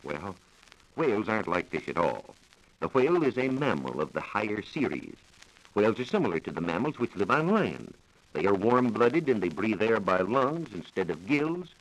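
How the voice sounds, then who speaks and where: Low-voiced American man, 1940s radio science talk. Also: big pitch swings, moderate pace, poor audio. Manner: formal, didactic, precise. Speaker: science reporter on a radio programme. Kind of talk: 1940s radio educational talk, scripted explanation. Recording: vintage 1940s radio broadcast recording.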